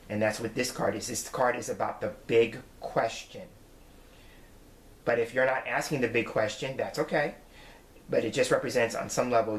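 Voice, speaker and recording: A man in his late forties talks through tarot cards in an earnest, reflective way. He explains patiently and sits at an ordinary, middle pitch. The room around him is noticeably echoey. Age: 40s. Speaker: tarot reader. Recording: roomy echo.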